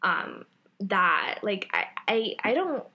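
A young woman co-hosting a podcast in casual chat, with a loud voice that projects and an energetic way of talking. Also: medium pitch, takes it slowly, highs cut off. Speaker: podcast co-host.

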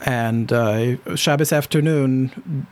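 A man's voice: mid-range voice, takes it slowly, darkish tone. A man hosting an interview, asking questions and summing up what his guest says. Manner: curious, prompts and sums up. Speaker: podcast host.

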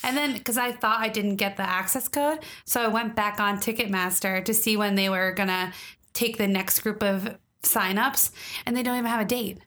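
A woman's voice: medium pitch, steady tone, normal speed, a bit bright. A woman co-hosting a podcast, chatting casually and telling stories with plenty of "like". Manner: chatty storyteller, fillers like "like". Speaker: podcast co-host.

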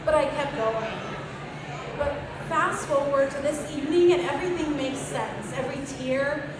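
A woman with a Guatemalan Spanish accent, a native Spanish speaker, giving a heartfelt award acceptance speech at a conference. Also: high voice. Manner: heartfelt, emotional. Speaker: award recipient. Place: conference hall with audience. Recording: echoey hall from far off, crowd noise.

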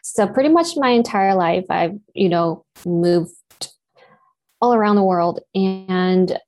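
A woman's voice: medium pitch, takes it slowly, a little mellow. Reflective woman in her thirties, Japanese native. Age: 30s. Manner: reflective, with many "you know" fillers. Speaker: actor and guest. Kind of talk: interview.

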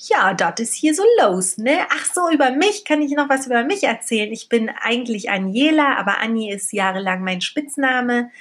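A woman's voice: fairly high pitch, lively intonation, moderate pace, few pauses, a bit bright. A middle-aged woman from Berlin talking candidly and colloquially, as in a casual personal vlog. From Berlin, Germany. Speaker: vlogger and storyteller.

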